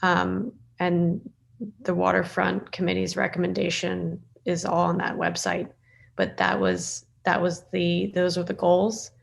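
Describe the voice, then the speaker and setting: Female board chair, heavy vocal fry, flat intonation, halting informal delivery on a video call. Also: lowish voice. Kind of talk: informal meeting remarks over video call. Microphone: video call microphone.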